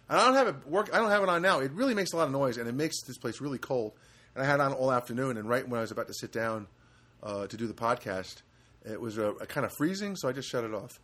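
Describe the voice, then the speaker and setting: A man hosting a casual solo podcast from a studio apartment, rambling and halting with many fillers. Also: mid-range voice, a bit bright. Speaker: podcast host.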